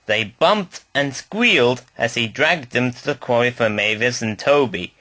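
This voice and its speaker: A man's voice: medium pitch, lively intonation, measured pace, bright, forceful tone, dry recording. A man reading a scripted children's story aloud as narrator.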